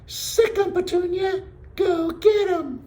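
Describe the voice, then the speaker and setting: A man voicing a brave, outraged young girl from a storybook, shrill and crying out, on a noisy home recording. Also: slow pace, dark tone.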